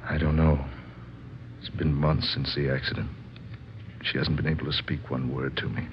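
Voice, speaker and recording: Devoted but scheming husband, low voice, earnest melodrama, old-time radio, muffled recording. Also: male voice, little pitch movement, normal speed, background noise. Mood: anxious, earnest. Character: scheming husband Harvey, outwardly devoted, turning anxious.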